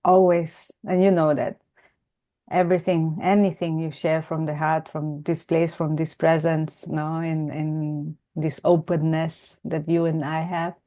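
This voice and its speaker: Slow, mellow-voiced woman host. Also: low-pitched, level tone. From Venezuela. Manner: unhurried, reflective, affirming. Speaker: podcast host. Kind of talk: casual conversation.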